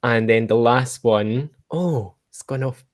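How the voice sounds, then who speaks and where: Informal male podcast host, mid-pitched. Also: slow. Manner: informal, rephrasing questions as he goes. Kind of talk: casual interviewing.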